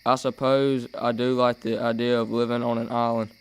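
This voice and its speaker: Young man playing a clear-voiced, uneasy young boy. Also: medium pitch, little pitch movement, moderate pace, close to an everyday voice. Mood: uneasy, wondering. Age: teenager or young adult. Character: anxious, stubborn ten-year-old brother. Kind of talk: amateur radio play acting.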